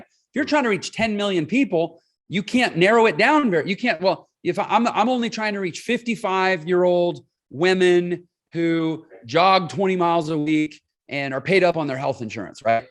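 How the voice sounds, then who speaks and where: High-voiced emphatic man in his forties. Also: animated, a little fast, hardly any pauses. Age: 40s. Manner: emphatic, explains with figures, asks "right?" often. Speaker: podcast guest, entrepreneur. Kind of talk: casual interview talk.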